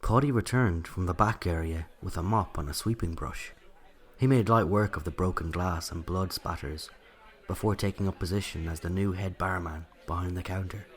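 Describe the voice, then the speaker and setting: A man's voice: deep voice, moderate pace, natural voice. An Irish man playing a narrator who recalls a night from his childhood, in scripted first-person storytelling. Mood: reflective.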